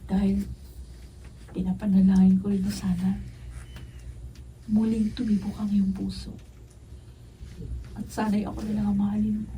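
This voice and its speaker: A woman's voice: mid-range voice, level tone, moderate pace, pauses often, mellow, close to an everyday voice. A woman playing a bold, lovestruck young lady in a radio drama, pouty, forward and yearning. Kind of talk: Filipino radio drama acting. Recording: muffled distant mic, noisy room.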